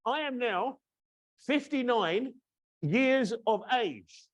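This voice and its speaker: A man's voice: extremely high pitch, lively intonation, slow pace. A man in his fifties from the UK hosting a training webinar over a video call, chatty and teasing, often checking in with "yeah?". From United Kingdom. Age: 50s. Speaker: course trainer and webinar host. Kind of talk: casual webinar presentation.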